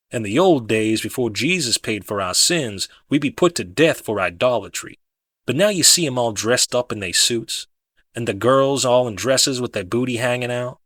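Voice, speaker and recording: A man's voice: mid-range voice, fairly bright tone, breathy edge, dry recording. A man voicing a fervent, folksy conspiracy-minded street preacher in an audiobook, colloquial and insistent, driving points home with emphasis and rhetorical questions. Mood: scornful, indignant. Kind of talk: dramatic audiobook monologue.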